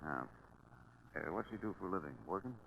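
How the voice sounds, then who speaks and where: A man's voice: low-pitched, a little fast, creaky, fairly bright tone, husky, no echo, heavily acted, low-quality recording. An American man playing a tough, sardonic police sergeant, clipped and commanding, in a 1940s radio crime drama. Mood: dry, pressing. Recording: vintage 1940s radio broadcast.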